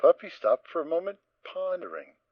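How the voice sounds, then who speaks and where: Expressive male storybook narrator. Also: on the high side, normal speed, dry recording. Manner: expressive reading. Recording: dull, dry lo-fi recording.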